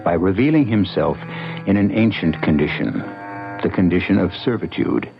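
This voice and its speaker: A man's voice: deep voice, lively intonation, moderate pace, vocal fry. An older American man hosting a radio mystery, narrating from a script in a theatrical, wry way. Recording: muffled radio broadcast with loud music. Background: loud dramatic music.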